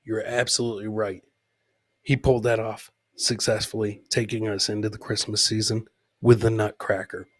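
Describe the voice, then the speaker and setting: A man's voice: lowish voice, level tone, normal speed. Casual man riffing on video clips. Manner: repeats his own lines, reading and answering live comments. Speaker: host of a live comedy podcast. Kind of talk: casual commentary on funny fail clips.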